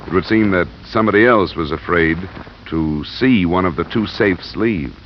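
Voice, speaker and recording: An actor playing a steady, probing, dryly wry insurance investigator in 1950s radio drama style, with clipped hardboiled questioning and narration. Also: male voice, sits low, moderate pace, slight background noise, lightly acted. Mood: businesslike. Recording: muffled vintage radio recording, music underneath.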